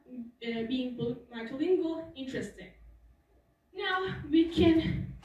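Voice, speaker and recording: High-voiced teenage girl in a hall. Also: darkish tone, highs cut off. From Turkey. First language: Turkish. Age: teens. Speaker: student speaker. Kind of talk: prepared school talk. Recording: echoey hall, voice from far off.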